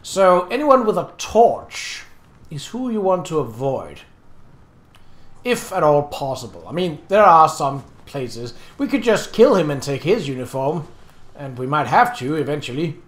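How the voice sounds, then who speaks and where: A man's voice: fairly high pitch, sing-song, unhurried, roomy sound. A man casually commenting on his game as he plays, chatty and easily distracted, thinking aloud. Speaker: Let's Play host.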